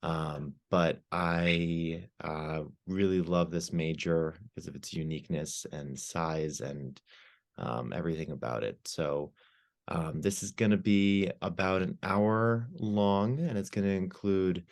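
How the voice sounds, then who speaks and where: Deep-voiced man hosting a video call. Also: a little slow, gravelly. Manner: relaxed, rambling, frequent ums. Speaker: admissions staff host. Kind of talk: hosting a virtual open house.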